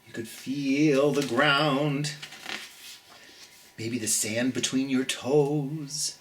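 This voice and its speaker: A middle-aged children's storytime host chatting and reading aloud, gently and theatrically, speaking straight to young listeners with drawn-out emphasis. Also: medium pitch, fairly even intonation, slight background noise.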